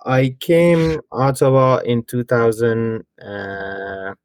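A middle-aged man from Afghanistan, a guest in a career interview, speaking in halting English with frequent fillers and restarts. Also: mid-range voice, fairly even intonation, darkish tone.

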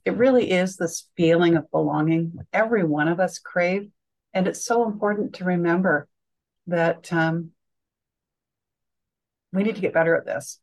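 Low-voiced woman hosting an interview. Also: moderate pace, pauses often, a little mellow, poor audio. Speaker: interview host. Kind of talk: conversational interviewing.

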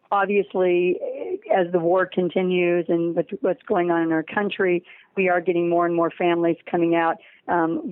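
An American woman with a slightly low voice is interviewed over a thin, phone-like line. She explains her program emphatically, repeating words to drive them home. The sound is close and dry, with little room to it. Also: dry recording. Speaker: guest, head of a horse-riding charity.

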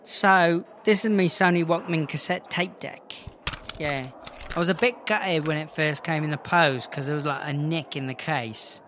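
A man's voice: a little high, moderate pace, close to an everyday voice. Man as a chatty British female inmate, noisy background. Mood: proud, chatty. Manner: colloquial, showing off. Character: chatty British female inmate, proud wannabe gangster rapper. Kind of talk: comedy audio drama. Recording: clearly noisy background ambience.

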